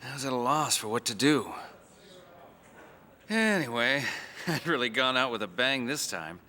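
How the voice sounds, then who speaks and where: A man's voice: mid-range voice, expressive, unhurried, bright, forceful tone. An actor playing a plucky, self-mocking ex-fighter pilot, telling his story in a wry, conversational game-cutscene interview style. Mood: wry, nostalgic.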